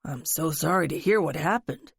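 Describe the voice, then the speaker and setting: A woman narrator voicing a friendly bakery manager who talks briskly. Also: low-pitched, gravelly, husky, no room sound, pitched below the performer's own voice, heavily acted. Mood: concerned.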